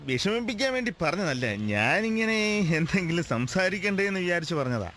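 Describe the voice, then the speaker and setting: A high-pitched radio-drama driver, familiar and talkative, easily irritated on the road. He chats colloquially, with faint vehicle noise behind him. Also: big pitch swings, fairly quick, fairly bright tone. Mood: chatty. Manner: chatty, colloquial. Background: moving vehicle ambience.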